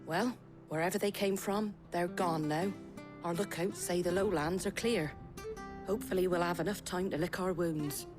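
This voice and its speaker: Blunt, low-pitched innkeeper woman actress. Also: moderate pace, music in the background, close to an everyday voice. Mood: frank. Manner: blunt, down-to-earth. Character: tough, plain-spoken woman innkeeper and village leader. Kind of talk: video game drama acting.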